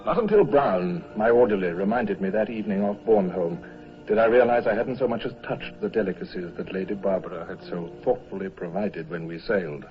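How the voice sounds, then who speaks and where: A man plays a British naval commodore of old-time radio drama, brooding and brisk. His voice is low and clipped, full of authority. The old recording is muffled, with a little music behind it. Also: lightly acted. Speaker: actor in a radio serial.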